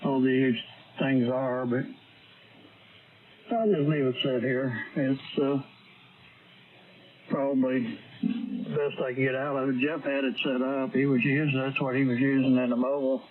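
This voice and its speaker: A man's voice: mid-range voice, level tone, a little slow, pauses often. Man on narrowband, hissy ham radio. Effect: radio transmission. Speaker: amateur radio operator. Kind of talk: casual radio chat.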